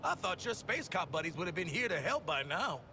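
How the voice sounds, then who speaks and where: A cocky video game antihero with a swinging, lively delivery. He taunts in loose slang. Clear machine ambience sits behind him. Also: sits high, fairly quick, a little hiss or hum, heavily acted. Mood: mocking.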